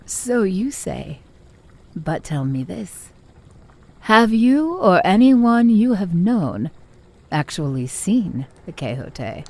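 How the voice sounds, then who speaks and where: A woman playing a measured, cryptic lore-keeper in a fantasy audio drama, speaking in deliberate, formal storytelling. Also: medium pitch, very animated, a little mellow, full character voice. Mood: knowing, challenging. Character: measured, cryptic female lore-keeper challenging her pupils' histories.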